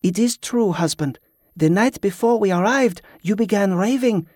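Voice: medium pitch, moderate pace, darkish tone, dry recording, clean studio sound, pitched above the performer's own voice, close to an everyday voice. A narrator voicing a loyal, earnest wife who protects her husband, in an audiobook.

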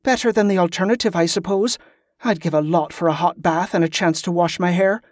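A man's voice: high voice, brisk, dry recording, studio quality. A man voicing a weary, wry and flirtatious woman in an audiobook story. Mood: weary, teasing.

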